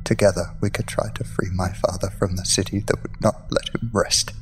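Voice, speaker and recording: An actor playing a haunted outcast man narrating a grim audio drama monologue in a grave, measured way. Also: low-pitched, little pitch movement, lightly acted. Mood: somber.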